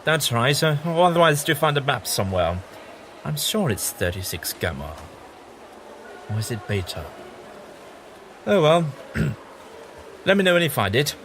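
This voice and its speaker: A man's voice: a little high, sing-song, measured pace, long pauses, a bit bright, exaggerated voice. A man playing a kindly, bookish, eccentric time traveller with an amiable, slightly absent-minded manner in an audio drama. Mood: helpful, distracted. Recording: audible background noise.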